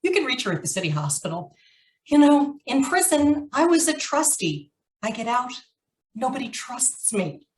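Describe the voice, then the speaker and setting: A woman's voice: sits high, big pitch swings, normal speed. Echoey, swooping tough ex-con woman, acted. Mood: defiant, bitter. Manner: sardonic, challenging. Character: defiant, bitter, tough female ex-convict. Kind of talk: old-time radio noir acting. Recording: echoey stage, far from mic.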